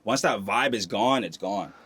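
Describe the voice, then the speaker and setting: A deep-voiced man speaks in an easygoing, unhurried way about making music. He keeps checking in with his listener, ending thoughts with a casual question tag. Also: fairly bright tone, slightly rough, no echo. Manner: laid-back, keeps adding "you know what I'm saying". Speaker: rapper. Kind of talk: casual podcast chat.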